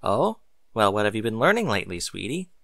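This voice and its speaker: Man voicing a caring, harried mother, lifted pitch. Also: big pitch swings, brisk, vocal fry, fairly bright tone, no echo, clean studio sound, exaggerated voice. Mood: gently coaxing. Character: caring, harried mother, cheerful but worried. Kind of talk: audiobook character dialogue.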